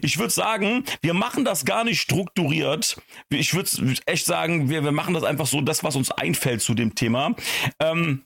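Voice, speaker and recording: Guy hosting a podcast, raspy creaky voice, super casual slangy talk, really effusive and emphatic. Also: a little high, moderate pace, a bit bright. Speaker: podcast host.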